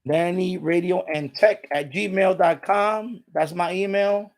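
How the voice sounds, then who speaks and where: Male streamer, middle-aged, slightly high voice with heavy fry; casual, repetitive, explanatory delivery. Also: slow. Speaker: live stream host. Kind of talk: casual unscripted live stream chat.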